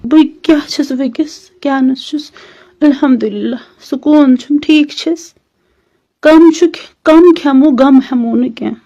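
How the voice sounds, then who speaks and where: Muffled high-voiced Kashmiri woman, pleading. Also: slow pace, warm tone. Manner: pleading, repeating requests. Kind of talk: personal appeal in a recorded message. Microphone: phone. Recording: muffled lo-fi phone recording.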